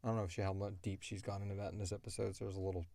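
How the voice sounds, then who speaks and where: A man with a fairly low voice talks as a show host. His delivery is informal and animated, with emphatic points in a casual chat. The sound is dry, with little room on it. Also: rapid-fire, creaky, no echo. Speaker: host and creator of an audio drama podcast. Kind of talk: casual chat answering listener questions.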